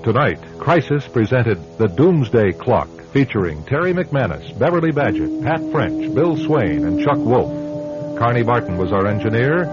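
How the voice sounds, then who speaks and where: A man narrating and hosting a scripted radio mystery in a dramatic, deliberate manner. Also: mid-range voice, big pitch swings. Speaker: host, narrator and producer. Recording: dull radio recording, loud music behind. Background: loud music.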